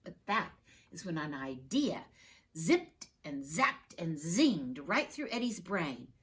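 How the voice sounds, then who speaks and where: A woman teacher reading a picture book aloud with expressive storytelling. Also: lowish voice, takes it slowly.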